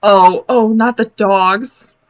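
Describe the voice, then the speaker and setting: A woman giving casual, expressive live reaction commentary on a cartoon as a video host, full of exclamations. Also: medium pitch, slow pace, low-quality recording. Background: cartoon soundtrack playing.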